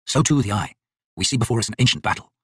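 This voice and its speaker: A man voicing a grave, wry prehistoric clan leader in a storybook, slow and weighty. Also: mid-range voice, husky, dry recording, clean studio sound, lightly acted. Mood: grave, brooding.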